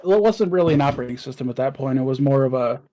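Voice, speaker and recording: Mellow young man explaining old computers. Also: mid-range voice, animated, racing. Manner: explains tech details eagerly, restarts phrases. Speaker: co-host. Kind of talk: casual chat.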